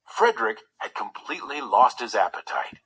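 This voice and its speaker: A man reading a children's story aloud in an expressive narrating style. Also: medium pitch, normal speed, vocal fry, husky, low-quality recording. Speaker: story reader.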